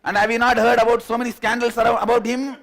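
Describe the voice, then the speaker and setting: A man voicing a scolding young girlfriend, firing off rapid nagging questions. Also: very high voice, flat delivery, gravelly, fairly bright tone, voice pushed up, exaggerated voice.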